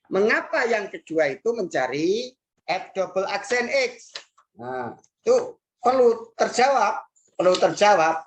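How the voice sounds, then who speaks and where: A woman teaching a math lesson with an Indonesian accent, working through problems patiently and repeating key phrases, with frequent "nah" and "hmm". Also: on the low side. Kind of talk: recorded classroom math lesson.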